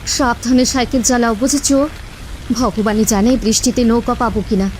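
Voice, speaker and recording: A woman's voice: fairly high pitch, fairly even intonation, normal speed, background noise, close to an everyday voice. A woman playing an anxious, fretful village wife who keeps cautioning, in a ghost story cartoon.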